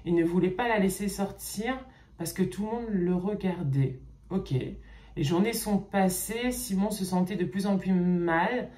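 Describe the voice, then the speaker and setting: A woman YouTuber reading fan fiction aloud and pausing often to comment, in a chatty manner. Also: mid-range voice, mellow. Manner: chatty, reading slowly, with frequent "ok" and hesitations. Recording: roomy echo.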